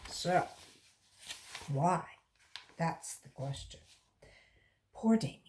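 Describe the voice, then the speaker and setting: Slow, expressive woman reading a story. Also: sits low, slight background noise. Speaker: volunteer story reader for children. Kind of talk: animated read-aloud storytelling for children.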